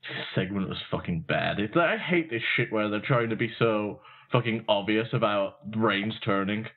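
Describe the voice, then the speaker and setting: A man's voice: on the low side, normal speed. A man co-hosting a podcast, giving blunt, profane opinions in casual wrestling talk. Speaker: podcast co-host. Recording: tinny phone-like line.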